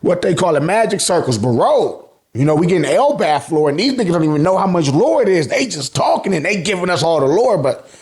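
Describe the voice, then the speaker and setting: A man's voice: high voice, very animated, brisk, darkish tone. An American man hosting a casual, slangy recap, telling the story colloquially and acting out reactions. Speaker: YouTube host recapping manga.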